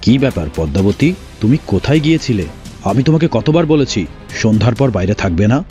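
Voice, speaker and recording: A man acts out a stern village father in a cartoon. He scolds in a rapid, mellow-toned voice at an ordinary male pitch, with soft music behind. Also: big pitch swings, close to an everyday voice. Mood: angry, scolding. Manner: scolding, strict. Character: stern, angry middle-aged father of village girl. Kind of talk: Bengali fairy-tale cartoon dubbing.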